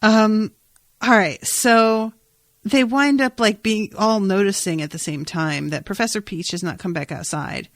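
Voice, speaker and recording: A woman hosts a casual podcast with a mid-pitched voice in a very dry recording. She retells events in chatty, expressive fashion, swearing freely and speaking as if among friends. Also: measured pace, no echo. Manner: informal, sweary, expressive storytelling. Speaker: podcast host. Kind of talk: casual chat, recapping a TV episode.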